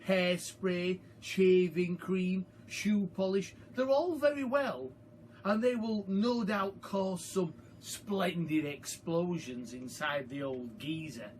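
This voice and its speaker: A man voicing a mischievous, excitable young boy in an emphatic, relishing storybook style. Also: high-pitched, takes it slowly, a little hiss or hum, voice pushed up. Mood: gleeful plotting. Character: excitable, mischievous young boy George, plotting against grandma.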